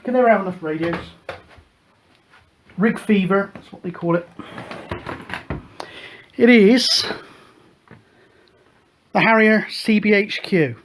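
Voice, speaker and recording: A man's voice: sits high, takes it slowly, pauses often, a little hiss or hum. A middle-aged man hosting his own radio hobby show, chatting casually and rambling with plenty of fillers. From United Kingdom. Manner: relaxed, rambling, many fillers. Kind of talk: casual show-and-tell chat.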